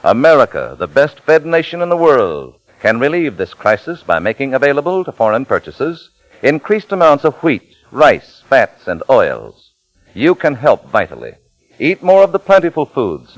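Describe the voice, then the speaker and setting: A man announcing and reading ads on a 1940s radio drama, smooth and persuasive. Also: mid-range voice, huge pitch swings, measured pace, dry recording, low-quality recording. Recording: dry old 1940s radio recording.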